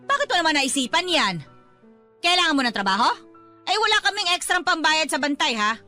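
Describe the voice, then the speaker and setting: A nasty high bright scolding mom in a radio drama, snappy and cold, music faint behind. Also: female voice, sing-song, brisk, full character voice. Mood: suspicious. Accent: Filipino. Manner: scolding, dismissive, confrontational. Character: harsh defensive middle-aged mother, neighbor. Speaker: Aling Gloria.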